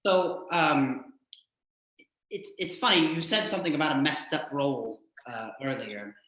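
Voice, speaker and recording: A man with a slightly higher-pitched voice hosts a casual interview. He rambles haltingly, restarting and repeating words as he shapes his questions. The sound is clearly echoey and roomy. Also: pushed and bright. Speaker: show host, interviewer.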